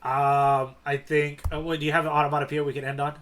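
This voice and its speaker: Higher-pitched man chatting enthusiastically. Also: little pitch movement, fast, a bit bright. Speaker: podcast co-host. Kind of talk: casual manga discussion chat.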